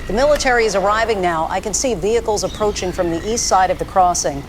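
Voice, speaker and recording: A woman playing a shaken TV news correspondent in an audio drama, reporting live in a halting way. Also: mid-range voice, slight background noise, close to an everyday voice. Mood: alarmed. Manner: halting, stammering, disbelieving. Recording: faint vehicle and outdoor ambience.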